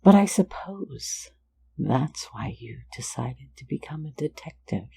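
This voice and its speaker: A woman's voice: deep voice, lively intonation, measured pace, gravelly, warm tone, breathy edge, heavily acted. A woman whispering as a sly teasing phantom thief. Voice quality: mostly whispered. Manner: teasing, soothing, lulling listener to sleep. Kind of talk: ASMR roleplay.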